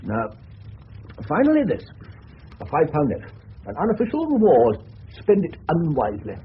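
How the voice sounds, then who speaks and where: Theatrical upper-class English colonel, swooping voice. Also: male voice, a little high, huge pitch swings, normal speed. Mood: gently formal. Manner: theatrical, precise, formal. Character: older English colonel, eccentric, clipped, fatherly to a boy. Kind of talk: 1970s radio drama acting. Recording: muffled, noisy old radio broadcast.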